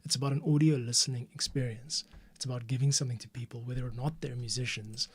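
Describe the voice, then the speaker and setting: A man's voice: medium pitch, steady tone, quick pace, breathy edge. A man hosting a podcast, talking casually to himself while he edits audio, now and then dropping to a whisper. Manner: chatty, talks to himself while working, drops into whispers. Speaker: podcast host and editor. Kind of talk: casual behind-the-scenes commentary while editing. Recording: music playing behind voice. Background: music playing and keyboard typing.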